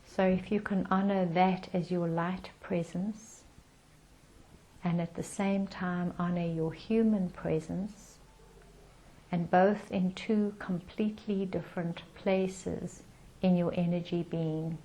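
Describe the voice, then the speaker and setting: A woman leading a guided meditation in a calm, soothing manner with gentle pauses. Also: on the low side, rough recording.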